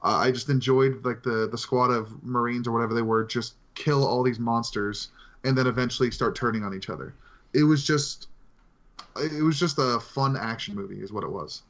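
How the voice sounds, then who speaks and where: A man's voice: medium pitch, normal speed, fairly bright tone. A man co-hosting a casual film discussion among friends, talking in an informal, conversational way. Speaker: podcast co-host. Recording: slightly dull lo-fi mic.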